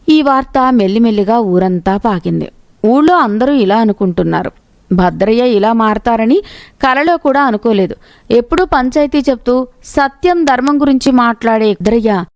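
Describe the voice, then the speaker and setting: A woman narrates a moral tale from a script. Her voice sits a little high. The sound is dry, with very little room on it. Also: a little mellow, dry recording. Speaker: storyteller narrator.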